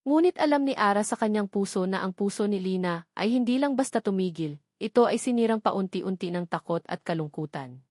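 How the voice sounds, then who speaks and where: A woman narrates a romantic drama in a scripted storytelling style. Her voice sits at a middle pitch, and the sound is dry and close, with no room around it. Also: animated, a little fast, darkish tone, dry recording.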